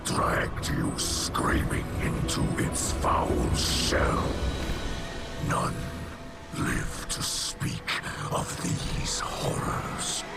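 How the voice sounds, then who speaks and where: A man playing a solemn alien elder in a game cutscene, narrating in a grave, breathy, deliberate whisper. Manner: slow, grave, deliberate storytelling. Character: solemn alien elder recounting his people's horrors. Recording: loud music under the voice. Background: music.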